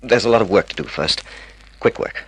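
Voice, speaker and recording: A man's voice: fairly low pitch, brisk, husky, no echo, rough recording. An Australian actor playing a cold, controlled young man plotting murder with his lover, in a mid-century radio drama style. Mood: cold, businesslike. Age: young adult. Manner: controlled, clipped, commanding.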